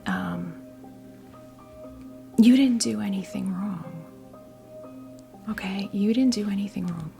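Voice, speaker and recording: An American woman in her fifties giving a tarot reading as ASMR role play, whispering softly in a halting, gently reassuring way. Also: medium pitch, faint music behind. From New Jersey, US. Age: 50s. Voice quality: whispered, breathy soft voice. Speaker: ASMR performer playing a tarot reader.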